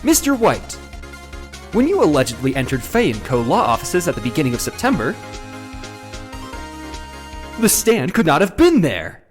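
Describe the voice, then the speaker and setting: A man's voice: fairly high pitch, huge pitch swings, normal speed, pauses often. A man acting an earnest, anxious young defence lawyer in a video game drama, emphatic and pleading. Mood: confident, pressing. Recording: music under the voice.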